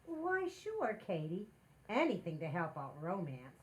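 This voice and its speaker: A woman's voice: lowish voice, huge pitch swings, unhurried. A woman playing a playful, meddling married housewife in 1940s radio comedy style, with an emphatic theatrical delivery. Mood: breezy, persuasive.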